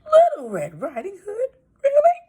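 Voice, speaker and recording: A woman voicing an excitable, gullible old grandmother in a shrill falsetto for a children's storybook. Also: a little slow, heavily acted. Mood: delighted. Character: excitable, delighted old grandmother, easily fooled. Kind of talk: children's storybook read-aloud.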